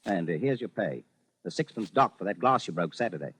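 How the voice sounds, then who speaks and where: An actor in old-time radio style playing a crabby, bossy older shopkeeper with a blunt, scolding manner. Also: male voice, sits low, animated, brisk, a bit bright. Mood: indignant. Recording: faintly noisy old radio recording.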